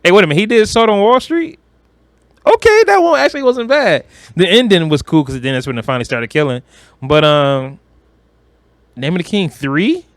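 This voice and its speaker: A man's voice: sits high, big pitch swings, fairly quick, no echo. A man talking casually about movies as a podcast co-host, in a blunt, slangy way with plenty of swearing.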